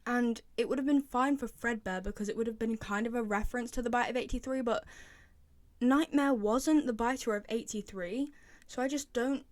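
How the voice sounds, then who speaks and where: Chatty young woman, higher-pitched voice. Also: moderate pace. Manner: chatty, informal, opinionated. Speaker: video creator reviewing game voice lines. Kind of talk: casual fan commentary.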